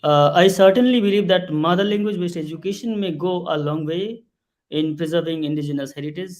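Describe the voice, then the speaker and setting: A man answering a panel question in a measured, earnest way. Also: fairly high pitch, darkish tone.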